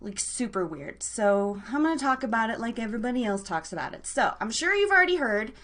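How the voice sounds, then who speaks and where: A woman's voice: fairly high pitch, very animated, a little fast, a bit bright. An American woman hosting a casual live show in a bubbly, chatty way with playful wording. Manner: bubbly, playful word coinages. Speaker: radio show host.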